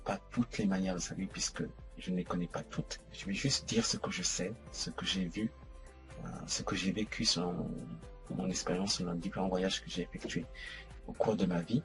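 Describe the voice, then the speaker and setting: Guy with a low voice doing a chatty explainer, kinda halting and repeating himself, faint music in the back. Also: animated, gravelly, fairly bright tone. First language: French. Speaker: host of an educational video series. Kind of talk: informal explainer addressing the viewer.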